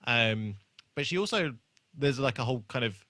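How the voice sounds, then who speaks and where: A man talks casually as a podcast co-host, with a bright, forward voice. He searches for his phrasing as he goes, in an informal way. The sound is very dry, with no room on it. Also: medium pitch, animated, normal speed, no echo. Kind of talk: casual chat recapping a TV episode.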